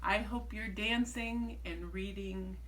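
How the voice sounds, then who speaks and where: A woman reading a rhyming children's picture book aloud with measured, expressive phrasing and dramatic emphasis. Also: mid-range voice. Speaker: storybook reader, teacher reading aloud. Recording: distant mic, faint background noise.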